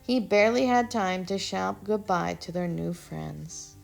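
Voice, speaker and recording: A woman reading a children's storybook aloud in a gentle, expressive way. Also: medium pitch, unhurried, creaky, soft background music. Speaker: storybook reader. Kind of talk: children's read-aloud narration.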